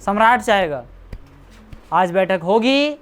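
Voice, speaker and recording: A woman's voice: medium pitch, lively intonation, slow pace. Hindi woman teacher. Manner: keeps checking understanding, repeats dates, teasing asides. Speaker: coaching class teacher. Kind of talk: classroom lecture with questions to students. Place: classroom.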